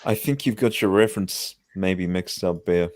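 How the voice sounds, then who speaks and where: A man's voice: low voice, normal speed, darkish tone. A man on a livestream giving brief, matter-of-fact replies while looking things up.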